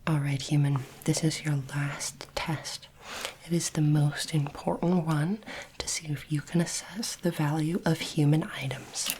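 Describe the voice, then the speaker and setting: Casting: woman playing polite alien examiner of human items, low soft whisper, formal and curious, ASMR roleplay, faint background noise. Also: fairly even intonation. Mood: solemn, instructive. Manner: slow, deliberate, formal phrasing.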